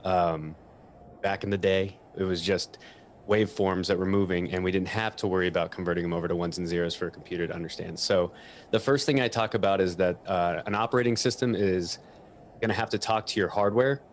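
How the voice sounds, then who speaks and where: A man's voice: low voice, fairly even intonation, brisk, slight background noise. A man giving an informal technical explanation as a guest equipment expert.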